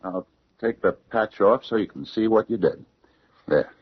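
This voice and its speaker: A man's voice: on the low side, normal speed, breathy edge. An actor playing a menacing, controlling man in a radio drama, cold and commanding. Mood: accusing. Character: menacing, controlling man who styles himself master. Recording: dull vintage radio recording.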